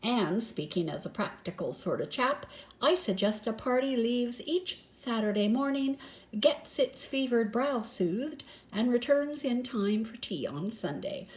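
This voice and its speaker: A woman's voice: fairly high pitch, lively intonation, a little slow, voice pushed up. A woman voicing a practical, chummy male mouse who organises things in a matter-of-fact way, from a storybook. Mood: brisk, practical.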